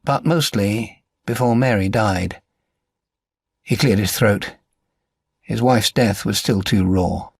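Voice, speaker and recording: A man reading a crime novel as an audiobook narrator, in a measured and articulate way. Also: fairly low pitch, vocal fry, slightly rough, no echo, clean studio sound.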